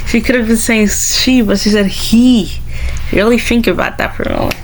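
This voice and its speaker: A woman's voice: a little high, normal speed, slight background noise, poor audio. A woman doing a casual playthrough, reading aloud and commenting with jokey, rambling asides and stumbles over words. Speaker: gamer reading a visual novel aloud.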